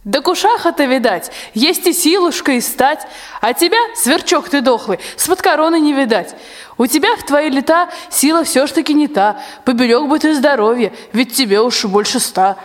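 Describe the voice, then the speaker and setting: Woman as high-voiced scolding royal nanny. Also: lively intonation, normal speed. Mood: mocking. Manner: mocking, blunt, folksy. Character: sharp-tongued, scolding servant nanny of the tsar. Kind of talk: rhymed radio play. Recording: long hall reverb.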